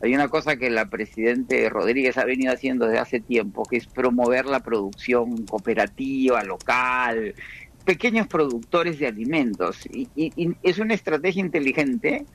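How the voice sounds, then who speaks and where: Measured Peruvian-born man explaining economics. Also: medium pitch, expressive, fairly bright tone. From Peru. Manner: measured, explanatory, frequent restarts. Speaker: economist guest. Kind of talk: radio interview answers.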